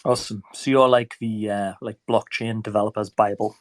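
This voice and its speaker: A man with a stuffed-up voice from a cold, hosting a casual online chat in a relaxed, rambling way. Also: on the low side, moderate pace, no echo. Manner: relaxed, rambling, filler-heavy. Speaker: host.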